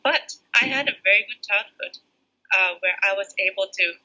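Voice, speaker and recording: A middle-aged woman from Japan, raised in the United States, a preschool director giving thoughtful interview answers with many pauses. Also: mid-range voice, pushed and bright. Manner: thoughtful, pauses often with fillers. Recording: muffled lo-fi audio.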